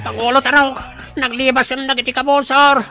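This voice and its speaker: A very high-voiced, anxious henchman. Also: level tone, fairly quick, sharp tone, faint music behind, full character voice. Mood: alarmed. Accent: Filipino. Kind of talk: radio drama acting.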